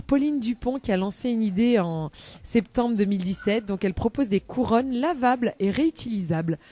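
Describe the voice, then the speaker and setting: A woman's voice: mid-range voice, mellow, no room sound. Chatty woman radio columnist. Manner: rambling, lots of hesitations, laughs often. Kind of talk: chatty live radio segment. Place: radio studio.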